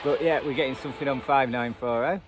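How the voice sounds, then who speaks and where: A man's voice: mid-range voice, steady tone, fast, soft background music. A man giving casual, unscripted commentary as a hobbyist host, rambling and self-deprecating in manner. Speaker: radio hobbyist and video host. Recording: noisy outdoor recording, radio static and traffic.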